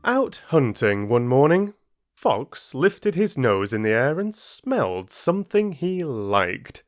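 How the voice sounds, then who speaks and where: A male storyteller reading a fable very slowly, his voice rising and falling expressively. The recording is close and dry, with a studio feel. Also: medium pitch, no echo, faint music behind. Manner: slow, expressive read-aloud.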